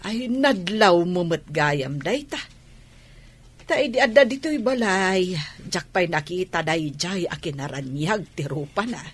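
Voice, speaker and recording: An older Ilocano village mother, acted by a woman, talks through her worries about her grown child. Her voice sits slightly low and has a husky edge, in everyday radio drama dialogue. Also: animated, unhurried. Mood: concerned, reflective.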